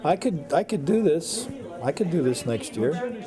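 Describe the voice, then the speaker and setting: A man in his seventies with an American accent, a teacher reminiscing casually in a folksy, halting way with short phrases. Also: on the high side, very animated, over music. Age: 70s. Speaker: high school teacher.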